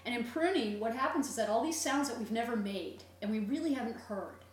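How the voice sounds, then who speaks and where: A woman's voice: fairly high pitch, fairly quick. A middle-aged woman chatting casually, telling stories and explaining things at length. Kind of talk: casual chat. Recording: echoey, distant mic, faint noise and hum.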